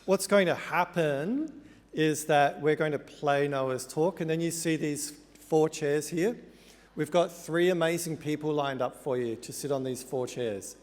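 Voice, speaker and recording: A man's voice: on the high side, a little slow. A middle-aged man hosting a conference, introducing a session in a jokey, informal way from a podium mic in a large hall. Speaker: conference host. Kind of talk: stage introduction. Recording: reverberant hall.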